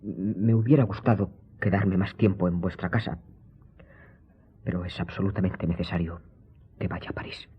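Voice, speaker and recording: An actor playing a well-bred, earnest young viscount in a radio drama, with a polite, emotional, expressive delivery. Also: male voice, low voice, moderate pace, pauses often, husky. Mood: shaken, urgent. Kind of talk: Spanish-language radio drama acting. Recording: muffled audio with music.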